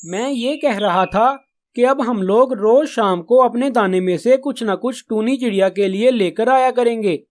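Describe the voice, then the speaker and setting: A man's voice: extremely high pitch, unhurried, a little mellow. A man voicing a caring, responsible male pigeon in a children's cartoon, speaking in an earnest, explanatory way. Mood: earnest, firm. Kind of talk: Hindi children's cartoon dialogue.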